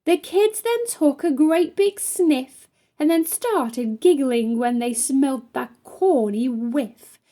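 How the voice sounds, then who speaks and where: A woman's voice: high voice, lively intonation, slow, warm tone. A woman reading a rhyming children's picture book aloud as a storytime narrator. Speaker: storytime reader.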